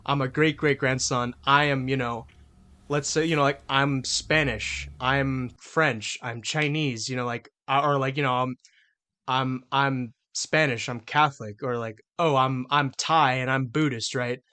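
A man's voice: medium pitch, fairly even intonation, measured pace, pushed and bright. A man co-hosting a podcast, arguing in a rambling way, full of filler words and swearing. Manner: argumentative, emphatic. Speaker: podcast co-host. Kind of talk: casual podcast debate.

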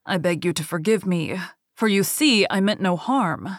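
A woman's voice: on the low side, animated, normal speed, no room sound, clean studio sound, lightly acted. A woman voicing a frightened, humble merchant in a fairy tale read aloud, pleading and apologetic.

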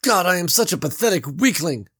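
A jittery young guy, as a male narrator puts him on, pitched well above the narrator's natural voice and pushed loud. He sounds earnest and anxious, quick to run himself down. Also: expressive, slightly rough, heavily acted. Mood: eager. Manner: earnest, anxious, self-deprecating. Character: nervous eager young man stuck in vent.

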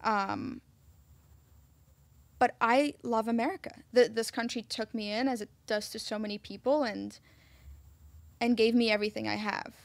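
A woman from Egypt co-hosting a casual talk show, speaking warmly but hesitantly, often restarting her sentences. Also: on the high side, fairly even intonation, normal speed, creaky, long pauses, dry recording. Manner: warm, hesitant, self-interrupting. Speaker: co-host. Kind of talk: casual chat.

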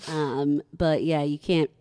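A woman's voice: low voice, steady tone, slow, dark tone, clean tone, dry recording, studio quality. A woman in her early forties from Richmond, Virginia, co-hosting a podcast in a playful, joking way, often starting with "um" and laughing. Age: early 40s. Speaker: podcast co-host. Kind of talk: casual chat.

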